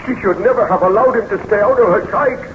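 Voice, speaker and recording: A man's voice: high-pitched, fast talker, close to an everyday voice. A man acting an anxious, well-bred baron in a 1930s radio serial, with formal, earnest, theatrical diction. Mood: troubled. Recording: noisy, muffled vintage 1930s radio recording.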